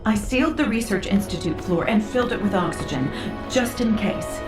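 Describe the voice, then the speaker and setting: Actress as animated female scientist, echoey. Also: medium pitch, moderate pace, close to an everyday voice. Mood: cautious. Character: careful, curious female scientist at research institute. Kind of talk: video game cutscene dialogue. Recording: echoey, with game music behind. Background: background music.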